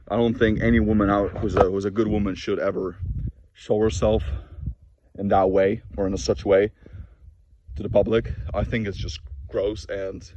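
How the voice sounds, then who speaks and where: A man's voice: lowish voice, a little mellow. A man whose native languages are Norwegian and Polish, giving a blunt, preachy motivational monologue to camera with frequent restarts. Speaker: fitness and self-improvement vlogger. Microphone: camera mic.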